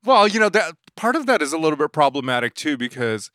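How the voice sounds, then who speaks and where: A man in his forties, a makeup artist, telling stories in a casual interview, animated and laughing often as he acts out dialogue. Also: on the high side, quick pace, smooth, dry recording, studio quality.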